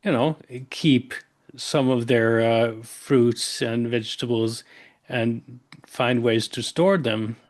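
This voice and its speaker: Man, hesitant, lots of uhs, podcast host, mid pitch. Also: slow. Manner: hesitant, many fillers, searching for English words. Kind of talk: casual conversational interview.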